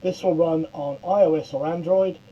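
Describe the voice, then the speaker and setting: A middle-aged man giving an informal software demonstration in a halting way, often stammering and repeating words. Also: on the high side, steady tone, highs cut off. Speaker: presenter of a software tutorial.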